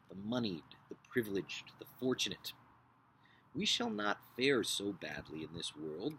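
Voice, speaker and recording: A man playing an earnest, idealistic upper-class nineteenth-century gentleman in a dramatic book reading, solemn, deliberate and oratorical. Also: medium pitch, a little hiss or hum, rough recording. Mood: reflective.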